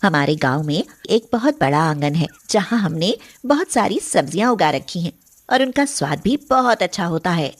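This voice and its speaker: A woman playing a caring, sensible young eldest daughter-in-law in an animated moral story, polite and respectful. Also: on the low side, normal speed. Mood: respectful, hopeful.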